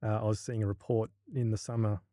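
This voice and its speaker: A man's voice: fairly low pitch, level tone, fast, dark tone, no room sound, clean studio sound. An Australian man, a university lecturer, talking as a guest in a casual podcast interview, hesitant and full of restarts. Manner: hesitant, many restarts and fillers.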